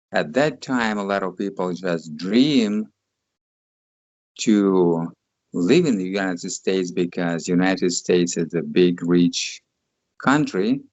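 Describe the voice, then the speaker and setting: Russian guy in his 60s, really low voice, slow halting english, kinda muffled dry sound. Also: no echo. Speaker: guest. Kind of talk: interview answers.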